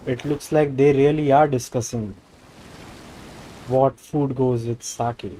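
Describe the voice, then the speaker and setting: A man's voice: medium pitch, steady tone, slow pace, dark tone, slight background noise, rough recording. Indian man streaming a game. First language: Hindi. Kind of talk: casual game commentary and reading dialogue aloud.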